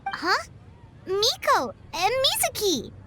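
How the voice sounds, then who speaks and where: A woman voicing a small, childlike, chirpy fairy companion with a squeaky voice in an animated video game. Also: slow pace, noisy background, heavily acted. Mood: excitable. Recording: game music behind the voice.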